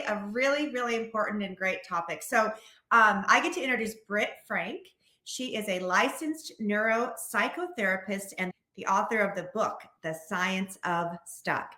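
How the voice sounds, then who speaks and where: A woman's voice: mid-range voice, pushed and bright. An American woman hosting a casual podcast, chatty and effusive, recorded with a roomy echo. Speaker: podcast host.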